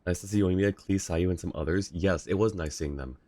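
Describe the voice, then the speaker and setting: A man's voice: low-pitched, brisk, mellow, no echo. A man chatting casually and reacting as he livestreams a video game. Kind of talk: casual stream chat and reactions.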